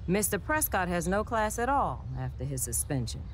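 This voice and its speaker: A skeptical, caring woman science teacher in a video game. Her voice is quite deep for a woman and she explains things in a measured, matter-of-fact way. There is faint noise behind her. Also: very animated. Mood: measured, regretful. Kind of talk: video game dialogue acting.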